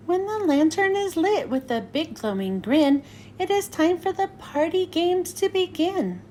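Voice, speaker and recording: A high-pitched woman narrates a children's picture book. Her delivery is slow and sing-song, swinging widely up and down as she follows the rhymes. There is some noise behind her. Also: darkish tone. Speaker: children's read-aloud host. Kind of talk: scripted storybook narration for children.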